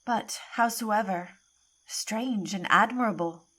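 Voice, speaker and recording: A woman playing a composed, thoughtful noble bride in a Shakespearean audio play, with measured delivery. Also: mid-range voice, a bit bright, breathy edge. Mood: thoughtful, wondering.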